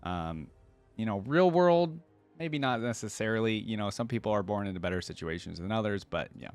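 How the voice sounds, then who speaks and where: A man's voice: fairly low pitch, expressive, a little fast, faint music behind. A man hosting a reaction video, casually musing and repeating lines as he comments on them. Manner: musing, echoes lines he hears.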